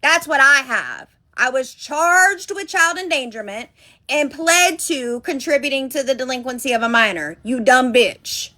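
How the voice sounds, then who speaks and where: High, bright-voiced woman trading insults. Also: expressive, measured pace. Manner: combative, profane, mocking. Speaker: livestream host. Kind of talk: heated livestream argument.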